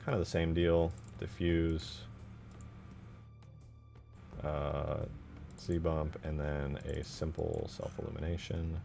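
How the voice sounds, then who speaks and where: A man with a low, creaky voice talks casually through his work as a game-modding streamer. He thinks out loud and often questions himself in loose, informal language. Music plays softly behind him. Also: level tone, slow pace, long pauses. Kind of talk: casual live commentary while working.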